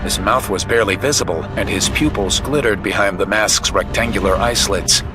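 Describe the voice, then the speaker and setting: A man's voice: on the low side, moderate pace, music in the background, rough recording. A man giving dramatic scripted narration of a story as its narrator.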